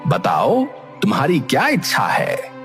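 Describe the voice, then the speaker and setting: A gracious, formal nobleman from a storybook tale, acted by a man. His mid-pitched voice swings very dramatically up and down while staying courteous. Music plays clearly behind him. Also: wildly swooping pitch, a little slow, a bit bright, full character voice. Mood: reassuring. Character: gracious storybook men: a grand king and courteous husband.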